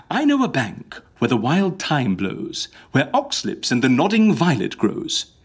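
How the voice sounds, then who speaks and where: A man's voice: mid-range voice, huge pitch swings, slow, breathy edge, exaggerated voice. A man playing a grandiose schemer in a Shakespearean audio drama, declaiming in florid phrases. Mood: persuasive, lofty. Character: grandiose Shakespearean schemer arranging faked deaths, male.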